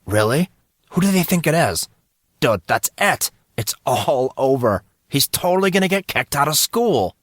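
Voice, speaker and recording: Audio drama casting: man to play excitable teen schoolboy, teasing best friend, slangy, animated intonation. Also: on the high side, moderate pace, dry recording, studio quality, pitched above the performer's own voice. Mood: excited. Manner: casual slangy banter.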